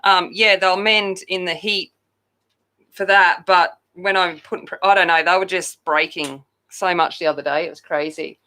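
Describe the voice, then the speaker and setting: A woman hosting a coloring channel, chatting loudly and casually with viewers while she colors, often poking fun at herself. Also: on the low side, fairly even intonation, normal speed. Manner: loud, chatty, self-deprecating.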